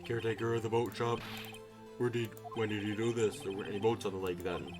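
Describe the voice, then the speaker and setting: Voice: mid-range voice, little pitch movement, fast talker, over music, natural voice. A game streamer voicing a stern old male judge in a courtroom video game, formal, ponderous and easily baffled. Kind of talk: visual novel game read-aloud.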